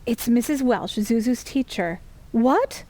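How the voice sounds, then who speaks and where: A woman playing a caring, concerned wife and mother in an amateur radio drama, earnest and gently reproachful. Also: a little high, animated, takes it slowly, dry recording.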